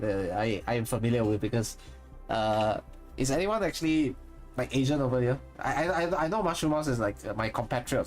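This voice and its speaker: Singaporean-accented male streamer, mid-range voice, thinks aloud and corrects himself, faint music underneath. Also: mid-range voice. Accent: Singaporean English. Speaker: streamer and content creator. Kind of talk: casual live-stream chat with viewers.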